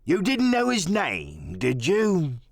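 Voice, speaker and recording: A man's voice: high-pitched, wildly swooping pitch, slow, gravelly, no room sound, studio quality, voice pushed up, full character voice. A man playing a big, commanding one-legged pirate landlord in an audiobook, cunning and cheerful, with drawling, blustering sailor slang. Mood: stern.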